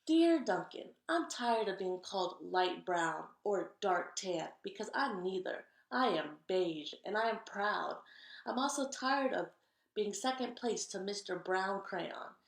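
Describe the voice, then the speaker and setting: A woman's voice: medium pitch, expressive, unhurried, creaky, reverberant. A woman voicing a proud but slighted crayon in a storybook, sounding aggrieved.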